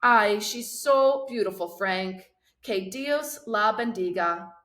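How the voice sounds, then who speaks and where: A woman's voice: mid-range voice, slow, a bit bright. Woman acting an outspoken aunt, effusive, gushing, blunt, storybook character, echoey room. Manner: gushing, blunt, mixes in Spanish words. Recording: roomy, echoing sound.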